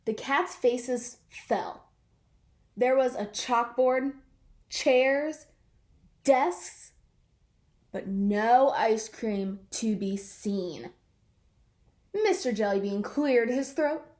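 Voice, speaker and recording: A woman reading a picture book aloud to children in an expressive way, drawing out words for effect. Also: on the high side, very slow, pauses often. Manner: animated, draws out words for effect. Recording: roomy, echoing sound.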